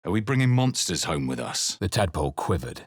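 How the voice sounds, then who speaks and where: Brooding male adventurer for a fantasy RPG: husky, expressive, grave and deliberate, recorded close and dry. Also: deep voice, no echo, heavily acted. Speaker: player character in a fantasy video game. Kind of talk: dramatic game voice lines and first-person narration.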